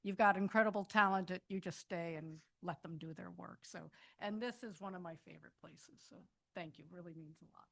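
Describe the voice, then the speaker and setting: A woman's voice: on the low side, a little fast, gravelly. A woman, the board president, speaking informally to a nonprofit's annual meeting. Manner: informal, loose phrasing. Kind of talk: casual speech at an annual meeting. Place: meeting hall.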